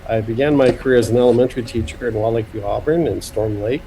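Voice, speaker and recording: A man's voice: mid-range voice, quick pace, warm tone, a little hiss or hum, rough recording. A man in his fifties from Iowa, speaking as a podcast guest over a video call in a plainspoken, friendly way with light humor. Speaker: guest, school superintendent. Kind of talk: podcast interview.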